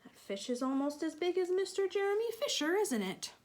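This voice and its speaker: A woman's voice: very high voice, fairly quick. A woman reading a picture book aloud to young children, expressively, with asides and questions to her listeners. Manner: expressive, with sound-effect emphasis and questions to listeners.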